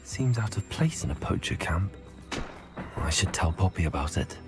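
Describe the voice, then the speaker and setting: An actor voicing a bold, observant teenage magic student in a video game, measured and thoughtful. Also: low voice, animated, over music. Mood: musing.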